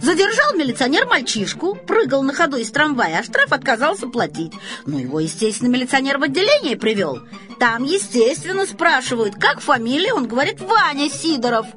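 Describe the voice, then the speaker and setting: A woman's voice: high voice, very animated, brisk, a bit bright, soft background music, pitched above the performer's own voice. A woman voicing a suspicious moustached man who tells things in a chatty, knowing way in a storybook reading. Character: suspicious moustached man telling a cautionary anecdote.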